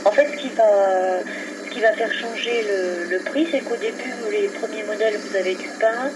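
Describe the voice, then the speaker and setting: A woman working as a helpline operator on a phone call, explaining things in a matter-of-fact way. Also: normal speed. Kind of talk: customer service phone conversation. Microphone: telephone line. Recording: noisy low-quality telephone recording from 2001.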